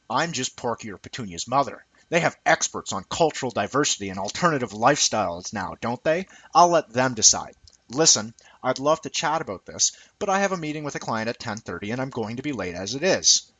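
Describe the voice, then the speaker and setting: A man voicing a self-absorbed, hurried working mother in a satirical essay reading, airy and mock-innocent. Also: medium pitch, lively intonation, a bit bright, husky, dry recording. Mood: breezy, dismissive.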